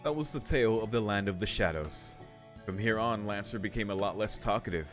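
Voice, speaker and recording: A man's voice: lowish voice, a little fast, fairly bright tone, soft background music. A man hosting a gaming video, reading aloud and commenting in a casual, rambling, slangy way.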